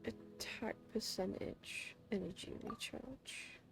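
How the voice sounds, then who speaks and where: A woman's voice: medium pitch, takes it slowly, gravelly, poor audio. A woman giving casual, informal live commentary as a game streamer, half talking to herself. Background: game music.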